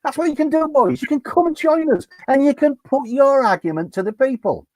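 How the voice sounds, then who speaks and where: A man's voice: lively intonation, a little fast, darkish tone, no echo. A man with a Yorkshire accent, joking and taunting in casual live-stream banter. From Yorkshire, England. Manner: jokey, taunting, rising to high mocking tones.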